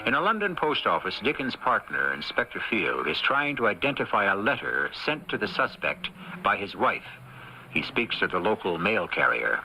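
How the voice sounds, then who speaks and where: A man hosting an old-time radio mystery, reading scripted narration in a measured, dramatic way. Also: on the low side, sing-song, pushed and bright, slight background noise, rough recording.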